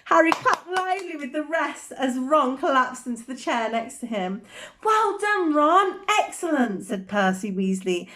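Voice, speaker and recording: A woman reading a children's bedtime story aloud with expressive, dramatic emphasis. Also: fairly high pitch.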